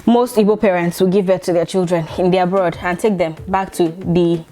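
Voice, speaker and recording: A woman's voice: fairly low pitch, steady tone, a little fast, mellow. Chatty Nigerian woman vlogging from her bedroom. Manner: chatty, laughs often, many restarts. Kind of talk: casual chat to camera.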